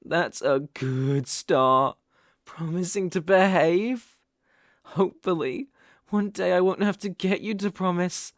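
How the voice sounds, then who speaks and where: A British man playing an obsessive, menacing young boyfriend in an intimate ASMR roleplay, coaxing softly with slow, deliberate emphasis. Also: high-pitched, no echo, studio quality. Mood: pleased, coaxing. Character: obsessive, possessive, menacing young boyfriend holding partner captive.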